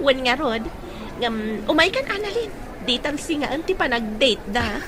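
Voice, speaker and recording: Woman as teasing young office friend, very high voice, swooping intonation, Filipino accent, chatty, audio drama. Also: sing-song, slow pace, background noise, full character voice. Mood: playful. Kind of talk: Ilocano audio drama acting.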